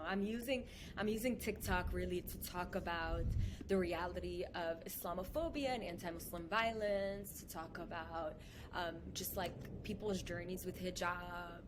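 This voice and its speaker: A woman guest on a podcast video call, talking in a lively, casual way with lots of fillers. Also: lowish voice, noisy background. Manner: animated, fast, lots of "like". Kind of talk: casual conversation. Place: indoor room at home. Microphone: headphones on a video call.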